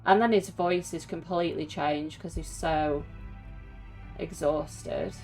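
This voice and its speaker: A woman's voice: low voice, fairly even intonation, slow pace, a little mellow, faint music behind, poor audio. A woman giving casual, reflective and sympathetic commentary while watching a video game. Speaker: streamer reacting to a video game.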